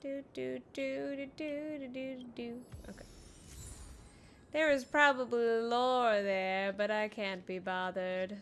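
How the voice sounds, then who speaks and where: A woman's voice: on the high side, steady tone, slow, a little hiss or hum. A woman chatting casually as she streams a game, playful and exclamatory, often talking to herself. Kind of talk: casual playthrough commentary. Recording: game music behind the voice. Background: video game music.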